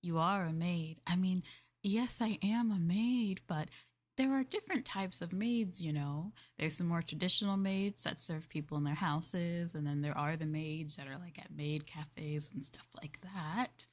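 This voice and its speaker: A woman's voice: on the low side, measured pace. A woman streamer playing a maid in a roleplay, chatting with viewers in a playful, teasing and politely formal way.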